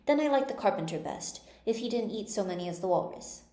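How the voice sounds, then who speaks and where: A woman's voice: high-pitched, lively intonation, a little fast, darkish tone, reverberant, voice pushed up. A woman voicing a thoughtful, polite little storybook girl who is earnest and easily indignant. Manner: earnest, prim.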